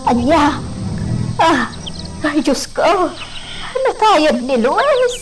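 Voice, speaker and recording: Lady playing old village granny in a radio drama, grave, talks real slow, music under her. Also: high voice, sing-song, heavily acted. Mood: shocked. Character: pious elderly village woman, grave and resigned. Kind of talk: Ilocano radio drama acting.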